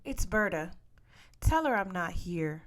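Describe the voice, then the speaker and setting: A woman's voice: lowish voice, big pitch swings, unhurried. A woman voicing a stubborn, sulky young girl, whiny and defiant, in a storybook reading.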